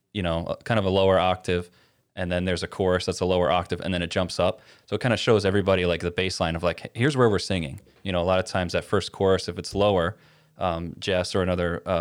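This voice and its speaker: A man with a very deep speaking voice talks casually about leading congregational singing. He hesitates and restarts often, filling gaps with small sounds. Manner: hesitant, many fillers and restarts. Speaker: worship leader. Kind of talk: casual conversation.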